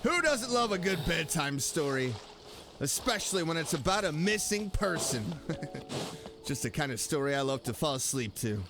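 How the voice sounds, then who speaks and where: A man's voice: a little high, very animated, normal speed. A man doing casual, playful gameplay commentary as a streamer, talking back to the game's characters. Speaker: streamer, gaming host. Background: faint game music.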